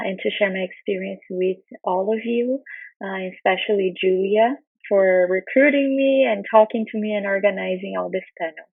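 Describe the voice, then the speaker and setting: A woman's voice: mid-range voice, gravelly, slightly rough, no room sound. A woman in her thirties from Brazil with a Brazilian Portuguese accent, giving an earnest conference talk as a guest speaker, with many ums and uhs. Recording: tinny phone-like line.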